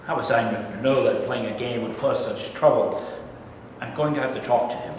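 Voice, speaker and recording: A man's voice: medium pitch, a little fast, darkish tone, a little hiss or hum, close to an everyday voice. Man playing concerned middle-aged father, echoey. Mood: worried. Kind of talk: staged reading of a play. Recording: very echoey hall, distant mic.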